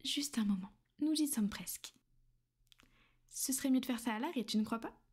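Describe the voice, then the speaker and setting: Casting: a coaxing vampire lady, soft half-whispers, a touch high, voiced by a woman. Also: normal speed, breathy edge. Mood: soothing. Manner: soothing, coaxing and possessive. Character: gentle, reassuring female vampire mistress. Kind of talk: ASMR roleplay.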